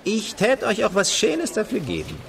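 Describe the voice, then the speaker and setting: An actor playing a hard-up, roguish film actor in a radio play, garrulous and sardonic, with a theatrical, jesting manner. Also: male voice, sits high, huge pitch swings, moderate pace, creaky, fairly bright tone, exaggerated voice. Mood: wheedling, mocking. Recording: crowd and outdoor street sounds behind.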